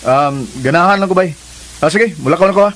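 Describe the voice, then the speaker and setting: An actor playing a persistent, generous young suitor with a Cebuano accent in a radio drama, talking in a casual, coaxing way. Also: male voice, sits high, quick pace, lightly acted. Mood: friendly. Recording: hissy recording, faint crackle.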